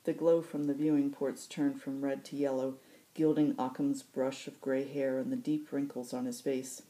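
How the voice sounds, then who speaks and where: Female storyteller, markedly low register, mellow tone, reading novel excerpts as host. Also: flat delivery, moderate pace, poor audio. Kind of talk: audiobook-style fiction narration.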